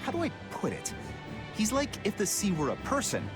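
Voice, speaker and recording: An actor playing a loyal, cheeky pirate crewman in a video game, chatty and expressive in an informal, colorful way. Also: sits high, normal speed. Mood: cheeky, musing. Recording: game music behind the voice.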